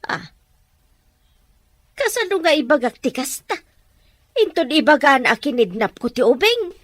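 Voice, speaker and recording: A woman's voice: high-pitched, big pitch swings, measured pace, dry recording, clean studio sound. A woman playing a principled older sister in an audio drama, protesting and scolding. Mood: uneasy. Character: principled older sister refusing a dishonest scheme. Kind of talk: amateur audio drama acting.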